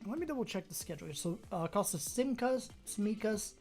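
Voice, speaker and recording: A Brazilian-born woman whose first language is Portuguese, speaking with a mostly American accent that carries a slight Brazilian touch. She streams a game and chats with viewers in a relaxed, rambling way, thinking aloud. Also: medium pitch, fairly quick, faint music behind. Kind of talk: casual chat with viewers while playing a game.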